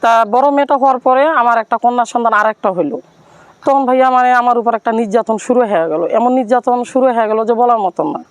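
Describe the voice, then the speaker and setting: A woman's voice: fairly high pitch, quick pace, dry recording. A woman with a Bangladeshi accent, earnestly and plaintively telling her life story and appealing to listeners. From Rangpur, Bangladesh. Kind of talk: personal account and plea to viewers.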